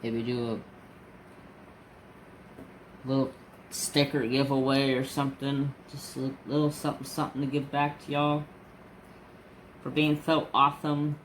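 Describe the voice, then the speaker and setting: Man, 40s, craft vlogger, unhurried rambling chat, faint hum behind. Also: mid-range voice, flat delivery, low-quality recording. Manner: rambling, chatty storytelling with restarts. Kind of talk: casual chat while crafting.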